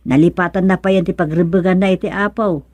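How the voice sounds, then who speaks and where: A woman's voice: on the low side, steady tone, quick pace, a little mellow, no room sound, lightly acted. A woman acting in a Filipino radio serial as a devout, patient but vexed older aunt, with a Filipino accent and a sighing, admonishing manner.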